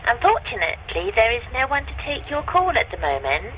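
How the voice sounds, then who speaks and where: A woman's voice: fairly high pitch, wildly swooping pitch, a little fast. A woman acting a receptionist's formal recorded message on an answering machine, in a radio drama. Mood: polite, formal. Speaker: veterinary receptionist. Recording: noisy, dull telephone answering machine recording.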